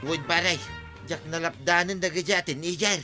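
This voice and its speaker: A man's voice: on the high side, normal speed, pushed and bright, soft background music. A man playing a meddling, scolding older village official in a comedy drama. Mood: indignant. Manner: scolding, blustery.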